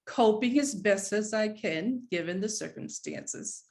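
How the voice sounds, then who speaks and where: Retired mother, mid-sixties, played by a woman: naturalistic, middle-pitched, fond but guilt-tripping, table-read drama. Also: lively intonation, a little slow, vocal fry, darkish tone. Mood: conversational. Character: retired professor mother, sixty-five, guilt-tripping, warm but wounded. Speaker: actor in a script read. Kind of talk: live screenplay table read.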